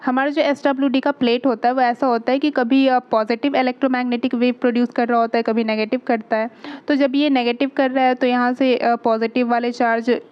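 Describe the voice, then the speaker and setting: A woman with an Indian accent speaks in a clearly high voice as she teaches. She explains step by step, posing questions and answering them herself, with English technical terms mixed in. The recording is slightly dull and lo-fi. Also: level tone, fairly quick. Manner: didactic, asks and answers own questions. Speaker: physiotherapy teacher. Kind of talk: explanatory lesson in simple steps.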